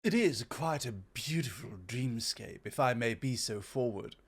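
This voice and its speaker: A man acts as an immortal god of wondrous innovation in an ASMR roleplay. The voice is medium in pitch and very unhurried. The delivery is ornate and proud, with a gently teasing, courtly air. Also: animated, husky. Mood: admiring, gentle.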